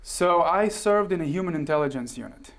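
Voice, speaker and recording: Man from israel, slightly higher voice, measured, explanatory, studio interview guest. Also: lively intonation, a bit bright. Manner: measured, explanatory, frequent "right?" and "um". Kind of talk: casual interview chat. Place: television studio.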